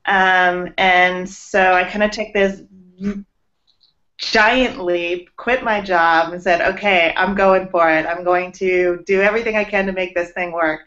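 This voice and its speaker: A woman's voice: on the low side, steady tone, measured pace, fairly bright tone. A woman in her forties from Los Angeles, a guest artist chatting casually in an interview with many ums and laughs, on a video call from her home studio. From Los Angeles, USA. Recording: muffled video call audio.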